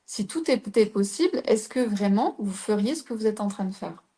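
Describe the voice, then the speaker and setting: A middle-aged woman with a soft, mellow voice. She coaches a live audience, teaching as she talks and stopping often to ask her listeners questions. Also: medium pitch, animated, moderate pace. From southern France. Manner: explains with rhetorical questions to the audience.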